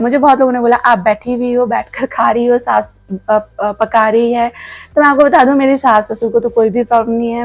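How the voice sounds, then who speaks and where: A woman's voice: a little high, a little mellow, no room sound. An Indian woman in her thirties talking casually to her viewers in a home vlog, candid and earnest, with a slightly sore, scratchy throat and English words mixed into her speech. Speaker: vlogger. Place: home kitchen.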